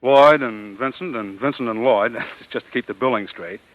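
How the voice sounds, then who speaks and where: A man's voice: mid-range voice, normal speed. A man presenting at a curtain call as producer-director of a 1940s radio show. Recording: tinny old radio recording.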